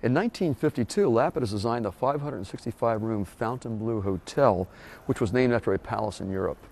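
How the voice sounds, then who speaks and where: Measured male tour guide, lapel mic. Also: medium pitch, creaky, a little mellow. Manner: measured, informative. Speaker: local historian and tour guide. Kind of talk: scripted walking-tour narration. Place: outdoors on a beach boardwalk, later a hotel lobby.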